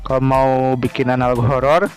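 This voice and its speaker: A man narrating a casual tutorial in an informal, halting way with frequent pauses. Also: mid-range voice, level tone, faint music behind. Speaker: video creator and tutorial presenter.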